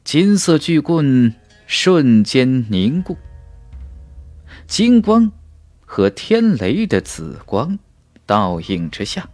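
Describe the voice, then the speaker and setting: A man narrating a fantasy audiobook in a theatrical, suspenseful manner, with dramatic pauses. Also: mid-range voice, darkish tone, no echo.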